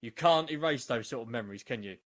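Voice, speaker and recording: A man's voice: mid-range voice, animated, quick pace, a bit bright. A man hosting a podcast interview, asking leading questions with enthusiasm. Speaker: podcast host.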